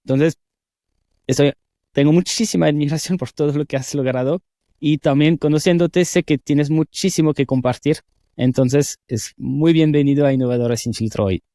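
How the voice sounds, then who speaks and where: A man's voice: fairly high pitch, moderate pace, dry recording, clean studio sound. Man hosting a podcast interview. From France. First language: French. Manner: enthusiastic, warm praise with frequent hesitation fillers. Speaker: podcast host. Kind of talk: scripted intro, then casual interview chat.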